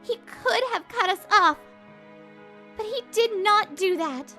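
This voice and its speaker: A woman voicing a blunt, outspoken wronged wife who scolds hard and confides softly. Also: very high-pitched, expressive, slow, soft background music, full character voice. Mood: angry. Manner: sharp and scolding, soft when confiding. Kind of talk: manga video voice acting.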